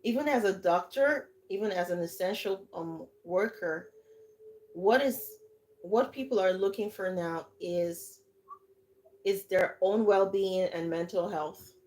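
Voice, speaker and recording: A Nigerian woman hosts a casual livestream and talks through her advice with lively emphasis. She often repeats a phrase before she moves on. Her voice sits at an ordinary middle pitch for a woman. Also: a little slow, slight background noise, rough recording. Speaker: livestream host and coach.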